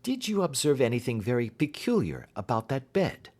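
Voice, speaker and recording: A man voicing a keen, assured detective in an audiobook, explaining things precisely and deliberately. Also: mid-range voice, wildly swooping pitch, darkish tone, voice pushed up. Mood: keen, reasoning. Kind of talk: audiobook character dialogue.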